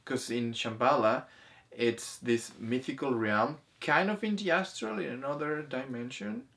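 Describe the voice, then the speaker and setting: A man's voice: medium pitch, a little slow, gravelly, fairly bright tone. A man co-hosting a casual movie discussion, explaining things in a hesitant, self-correcting way. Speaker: co-host of a spirituality review show.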